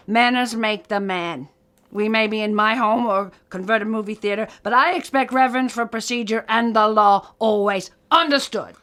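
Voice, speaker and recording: A woman's voice: mid-range voice, a bit bright, close to an everyday voice. Folksy, no-nonsense small-town lady judge played by an actress. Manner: folksy, firm, schoolmarmish. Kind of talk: audio drama acting.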